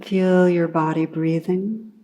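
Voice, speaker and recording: A woman meditation teacher leading a guided meditation in a calm, unhurried way, gently instructing with long pauses. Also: on the low side, low-quality recording.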